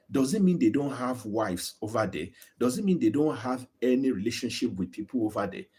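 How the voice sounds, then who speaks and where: A Nigerian man with a Yoruba accent talks straight to his channel's viewers. His voice sits low and a bit husky, and he argues earnestly, circling back and repeating words as he builds each point. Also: a little mellow. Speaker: YouTube channel host. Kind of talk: live-streamed opinion talk to viewers.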